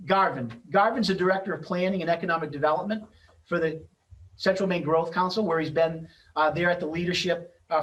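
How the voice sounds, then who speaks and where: Man, middle-aged, event moderator, semi-formal delivery, slightly raised pitch, somewhat distant video-call sound. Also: fairly bright tone. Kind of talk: semi-formal event introduction, loosely scripted.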